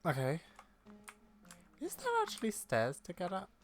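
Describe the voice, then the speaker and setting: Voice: medium pitch, huge pitch swings, measured pace, soft background music. A gaming streamer giving casual commentary, chatty and reacting aloud in short remarks.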